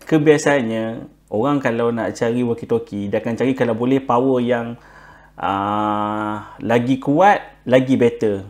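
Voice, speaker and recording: A man with a Malaysian accent explaining tips informally and unscripted, pausing on fillers, repeating himself and mixing in English words. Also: medium pitch, darkish tone. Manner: halting, frequent filler words and repetition; code-switches with English words. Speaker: presenter giving buying tips.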